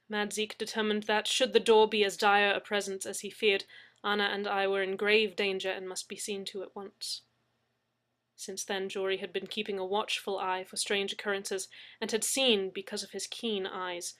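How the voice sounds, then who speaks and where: A woman reading a serialized story aloud in a measured way. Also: medium pitch, fairly bright tone. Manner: measured narration. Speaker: reader.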